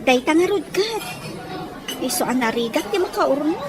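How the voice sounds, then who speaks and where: A woman's voice: high-pitched, lively intonation, moderate pace, a crowd in the background, noisy background. A woman playing an ambitious, poor teenage Filipina with an Ilocano accent who dreams of a better life, in an audio drama. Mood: determined, worried. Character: ambitious poor young Filipina, sixteen, dreaming of marrying a foreigner.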